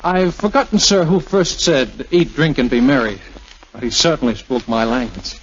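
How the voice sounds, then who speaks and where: A man's voice: medium pitch, moderate pace, close to an everyday voice. Man acting breezy young flyboy major, old dull recording. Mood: earnest, respectful. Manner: smooth-talking, wry, joking. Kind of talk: 1940s radio drama acting. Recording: dull, hissy 1940s radio recording.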